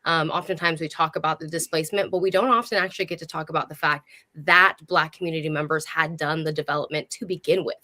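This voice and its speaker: A woman hosts a conversational interview. Her voice sits on the low side. She speaks to her guest in an appreciative, encouraging manner. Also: fairly even intonation, fast, pushed and bright. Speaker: host.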